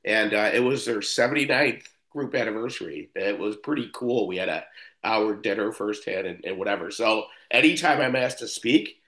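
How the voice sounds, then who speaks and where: A fifty-something man from Buffalo, New York, with a fairly low voice, speaks to a recovery meeting. He wanders through his story casually, doubling back on his words and filling pauses with "uh". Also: lively intonation, fairly bright tone. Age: 50s. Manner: rambling, frequent restarts and fillers. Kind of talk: personal recovery talk.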